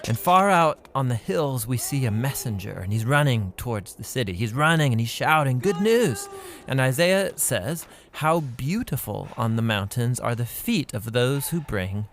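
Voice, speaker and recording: A man's voice: mid-range voice, expressive, moderate pace, slight background noise. A man co-hosting an educational video, giving scripted but conversational explanations with emphatic phrasing. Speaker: explainer, co-host of an educational Bible video series. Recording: music bed behind the voice. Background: clear background music.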